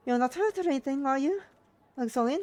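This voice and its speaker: An emphatic Russian mother played by a woman frets and scolds in a high, loud voice. Her accent is thick and put-on, her manner nagging and doting, as in an amateur audio drama. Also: animated, fairly quick. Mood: anxious, relieved. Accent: exaggerated put-on Russian.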